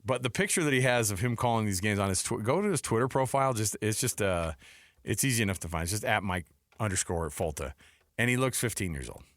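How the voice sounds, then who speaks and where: A man's voice: fairly low pitch, expressive, fast, fairly bright tone, no echo. An American man co-hosting a sports radio show, chatting casually and jokingly in colloquial style from a studio.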